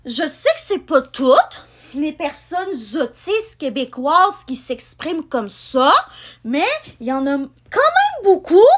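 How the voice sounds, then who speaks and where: Young woman vlogger from Quebec, partly France-style accent, high lively voice, unhurried, rambling. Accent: Quebec French, with some France-style features. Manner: rambling, with many "ben" fillers and restarts. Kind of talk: casual chat to camera.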